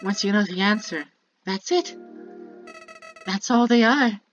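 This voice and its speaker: A woman's voice: medium pitch, a little slow, muffled recording, close to an everyday voice. A woman voicing a sinister, childlike talking flower from a video game, bitter and manipulative, delivering a theatrical monologue that moves between sweet musing and menace. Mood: wistful, scornful. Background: prominent music.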